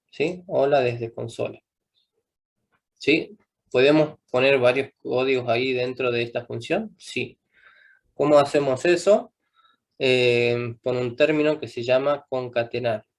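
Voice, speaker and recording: A man's voice: mid-range voice, creaky. A young man teaching a live coding class, patiently checking in with students after almost every step. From Argentina. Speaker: programming teacher.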